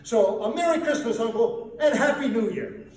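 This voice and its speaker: A man's voice: very high voice, normal speed, darkish tone, pitched above the performer's own voice. A man reading a storybook as a cheerful, earnest young nephew, speaking in a hearty and sincere way. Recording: echoey room, distant mic, faint noise.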